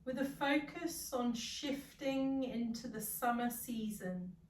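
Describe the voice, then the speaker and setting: A woman leading a guided meditation as a yoga nidra guide, calm, soothing and gentle, leaving long pauses. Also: on the high side. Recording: roomy echo, distant from the mic.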